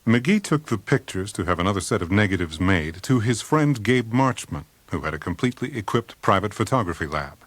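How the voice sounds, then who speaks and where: A man's voice: on the low side, sing-song, brisk, few pauses, a bit bright, dry recording. A man narrating a story from a script as an audiobook reader.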